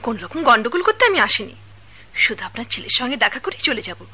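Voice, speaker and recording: A woman's voice: fairly high pitch, sing-song, fast talker, bright, forceful tone, breathy edge, heavily acted. A desperate poor young woman. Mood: anxious. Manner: pleading, hesitant. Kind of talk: radio drama acting.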